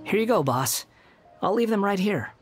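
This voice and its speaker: A man voicing a polite, guarded young wanderer in a video game, with a measured manner. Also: high voice, sing-song, over music. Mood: calm, earnest.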